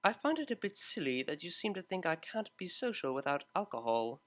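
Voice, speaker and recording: A prim young mare cellist, formal and exact in every word, voiced by the story's reader. The tone stays quiet and controlled, with a lively rise and fall under the polish. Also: mid-range voice, brisk. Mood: dry. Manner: formal, precise wording. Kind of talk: fan fiction reading, character voice.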